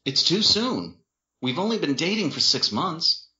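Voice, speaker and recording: A man portrays a gentle, conflicted young man in an audiobook. He sounds upset and hesitant, pleading at a raised pitch. The room echoes and the sound is slightly dull. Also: big pitch swings, a little slow, full character voice. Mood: tearful, conflicted.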